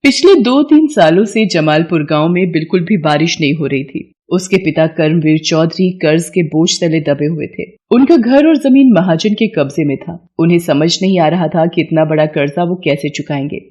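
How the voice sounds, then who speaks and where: A woman's voice: low voice, expressive, moderate pace, few pauses, dark tone. A woman narrating a scripted moral story as its storyteller.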